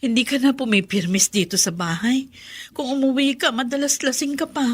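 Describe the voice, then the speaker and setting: A woman's voice: high-pitched, a little fast, breathy edge. A woman playing a long-suffering, plaintive wife in a melodramatic Filipino radio drama. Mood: pleading. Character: long-suffering wife pleading with abusive husband.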